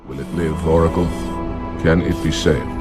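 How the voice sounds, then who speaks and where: A man's voice: very deep voice, fairly even intonation, normal speed. A man playing a stern alien warrior leader in a game, formal, terse and commanding. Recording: game audio under loud music.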